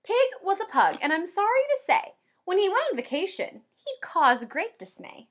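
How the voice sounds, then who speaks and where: A woman kindergarten teacher doing an expressive read-aloud for young children, with drawn-out emphasis and simple explanations. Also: very high-pitched. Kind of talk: animated children's read-aloud with comprehension questions.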